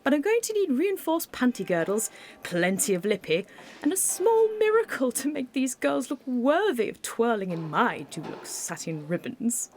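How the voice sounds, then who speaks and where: A woman's voice: on the high side, sing-song, normal speed, darkish tone, slight background noise. A woman playing a pompous, exacting 1940s village dance organiser in a theatrical, emphatic radio play monologue. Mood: haughty, indignant.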